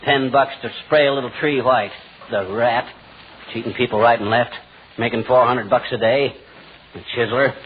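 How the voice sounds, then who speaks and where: An actor playing a blustering, stubborn, tightfisted American husband with folksy exclamations in a 1940s radio comedy. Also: male voice, medium pitch, steady tone, normal speed, lightly acted. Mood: grumbling. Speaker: lead comic actor. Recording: noisy, narrow-band 1940s radio recording.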